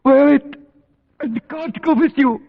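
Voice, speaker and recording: A man's voice: very high voice, a little slow, vocal fry, exaggerated voice. A man playing a frightened, superstitious traveller with urgent, pleading speech in an old-time radio play. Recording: reverberant, thin, phone-like vintage radio recording.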